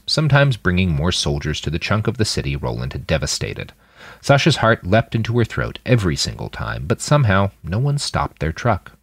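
A man's voice: sits low, dry recording, clean studio sound. A man reading a story aloud as scripted audiobook narration. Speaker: author reading his fiction podcast.

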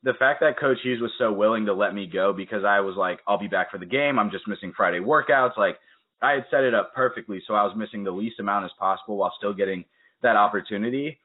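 Casting: young male podcast host, lowish voice, lively storyteller, phone-quality audio. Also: fast talker. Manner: energetic, storytelling with quoted asides. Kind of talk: casual interview chat. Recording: tinny phone-like call audio.

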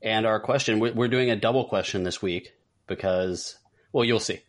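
A man hosting a casual podcast, explaining in a measured way and summing up for the group. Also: on the low side. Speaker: podcast host. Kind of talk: casual talk show moderating.

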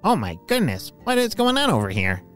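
A storyteller voicing a friendly, excitable male otter guide in a children's story. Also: medium pitch, a little fast, soft background music. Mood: friendly, startled.

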